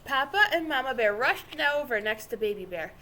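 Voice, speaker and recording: A woman reading a children's story aloud with expressive, dramatic narration. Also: sits high, fairly quick, a little hiss or hum. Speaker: storybook reader.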